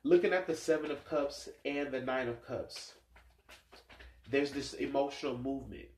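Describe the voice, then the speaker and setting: A man's voice: medium pitch, normal speed, darkish tone, slight background noise. A man with a stuffed-up nose giving a casual tarot reading, musing aloud and rambling with many fillers. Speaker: tarot reader. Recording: echoey room sound.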